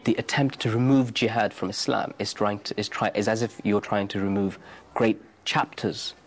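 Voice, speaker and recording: Earnest low-voiced man in his thirties. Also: steady tone, moderate pace. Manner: earnest, insistent, restarts phrases. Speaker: interviewee. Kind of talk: documentary interview answers.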